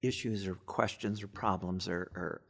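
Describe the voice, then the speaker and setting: A man's voice: low-pitched, steady tone, normal speed, vocal fry. A man speaking as an expert guest in an informal interview, stammering and repeating words as he explains.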